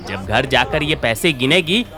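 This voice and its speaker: A man voicing a scheming, mocking food-stall vendor with a sneering, drawn-out delivery in a cartoon moral story. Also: medium pitch, very animated. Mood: gloating, scornful. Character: scheming, mocking adult male biryani street vendor.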